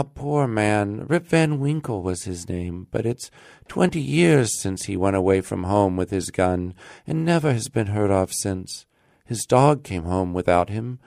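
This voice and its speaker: A man's voice: fairly low pitch, vocal fry, a little mellow, no echo, studio quality, pitched above the performer's own voice. A man voicing a plainspoken young village mother in an audiobook. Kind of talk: audiobook character voice.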